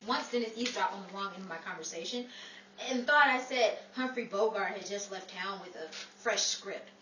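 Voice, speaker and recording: A woman's voice: medium pitch, a little fast, a bit bright, lightly acted. An actress playing a wry, wisecracking wife in a 1940s-style radio comedy, telling her tales with animation. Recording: echoey, distant stage recording, faint noise.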